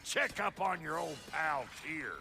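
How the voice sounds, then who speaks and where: Game role: cranky Southern dwarf smith, gravelly and piercingly bright, blunt and folksy. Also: male voice, a little high, measured pace, heavily acted. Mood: gruffly welcoming. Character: gruff, cantankerous male dwarf blacksmith. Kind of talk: cinematic video game acting.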